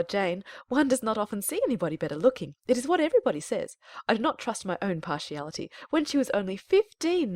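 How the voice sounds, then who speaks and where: A middle-aged society mother, put on by a woman reader, full of gossip and self-importance. Her pitch moves up and down freely as she effuses in a storybook style. The recording is close and dry. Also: dry recording. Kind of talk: dramatized audiobook character reading.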